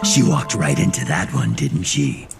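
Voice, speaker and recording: A man playing a mischievous, gloating spirit of death in an anime dub, with a gravelly voice. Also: a bass voice, very animated, measured pace, heavily acted. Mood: gloating amusement. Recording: voice under music.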